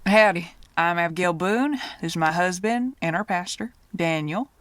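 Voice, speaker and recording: A woman's voice: fairly low pitch, normal speed, fairly bright tone, no echo, lightly acted. An actress playing a polite, folksy but guarded small-town pastor's wife in her thirties in a screenplay reading. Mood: folksy welcome. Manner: folksy, genteel, euphemistic. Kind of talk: screenplay table-read acting.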